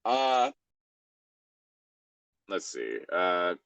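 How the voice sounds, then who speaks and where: A man's voice: low-pitched, very animated, extremely slow, gravelly, fairly bright tone, dry recording. A man co-hosting a show in casual chat, rambling wryly as he reacts to a story. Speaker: co-host of a fan fiction reading show.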